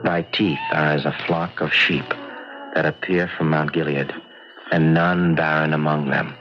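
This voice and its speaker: An actor playing a timid, earnest, lovestruck artist, halting and politely bookish, in an old radio drama. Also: male voice, rumbling low, steady tone. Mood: rapt, wistful. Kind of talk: old radio drama acting with confessional narration. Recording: muffled old radio drama, loud music behind.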